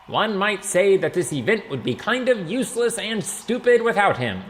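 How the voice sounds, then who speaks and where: A man voicing a jolly, bumbling town mayor making a loud public speech in a comic audiobook. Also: high voice, sing-song, normal speed, in a large hall, slight background noise, voice pushed up. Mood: proud, excited. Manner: loud, exclamatory public address.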